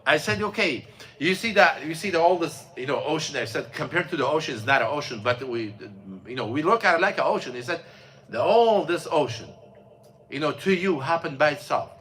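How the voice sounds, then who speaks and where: Bright-voiced man telling a story. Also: a little high, animated. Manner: storytelling, halting with many fillers and restarts. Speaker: imam. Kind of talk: mosque class talk. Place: mosque classroom.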